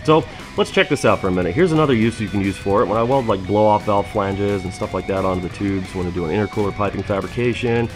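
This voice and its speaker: A man's voice: fairly low pitch, fast, few pauses, music in the background. A man in his thirties hosting a fabrication show, chatty and upbeat as he demonstrates in a metal shop. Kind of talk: casual how-to demonstration.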